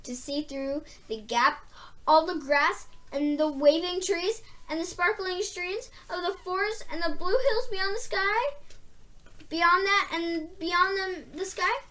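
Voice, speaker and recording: Female children's book reader: high-pitched, slow-paced, expressive, deliberate emphasis. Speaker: storybook reader. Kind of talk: expressive read-aloud of a children's novel.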